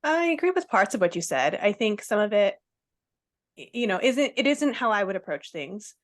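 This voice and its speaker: A woman's voice: mid-range voice, very animated, normal speed. A woman speaking as an interview guest, explaining things carefully and casually, often checking with a short tag question. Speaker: podcast guest, non-diet nutrition professional.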